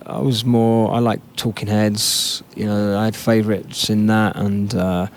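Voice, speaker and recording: A man's voice: fairly low pitch, flat delivery, a little slow, a little hiss or hum. A man from England, a musician answering interview questions in a laid-back, reflective way. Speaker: musician guest.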